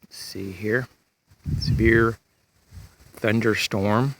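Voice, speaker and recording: A man giving an informal, unscripted gear demonstration in a halting, rambling way, like a hobbyist vlogger. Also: lowish voice, steady tone, poor audio. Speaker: prepper vlogger presenting gear. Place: outdoors, in breezy weather. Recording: clear background noise, outdoor ambience.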